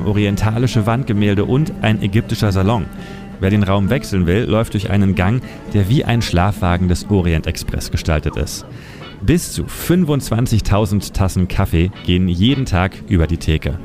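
A man reading a scripted documentary-style narration with a measured storytelling delivery. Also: on the low side. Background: music bed.

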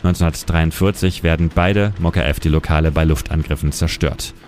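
A man's voice: a bass voice, steady tone, fairly quick, a little mellow, soft background music. A man presenting as a city guide, narrating a scripted historical story for radio.

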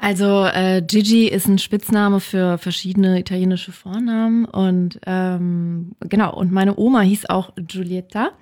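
A woman musician chatting as a radio guest, hesitant and pausing mid-thought. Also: medium pitch, fairly even intonation, a little mellow. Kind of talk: casual radio interview. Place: radio studio.

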